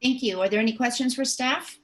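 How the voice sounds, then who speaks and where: A woman chairing a city planning commission meeting and calling on speakers in a measured, procedural way. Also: a little high, fairly bright tone.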